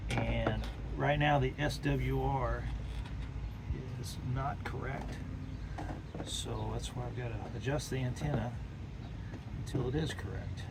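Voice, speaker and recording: A man casually demonstrating radio gear outdoors as a hobbyist, talking plainly and haltingly as he works. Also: medium pitch, fairly even intonation, gravelly. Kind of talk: casual off-the-cuff hands-on demonstration. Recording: loud outdoor wind and ambient noise.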